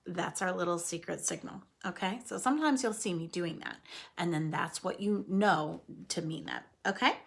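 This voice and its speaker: Slightly husky woman reading aloud to children. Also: mid-range voice. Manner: expressive and coaxing, addresses children directly with frequent questions. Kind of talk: children's read-aloud lesson, explaining words simply.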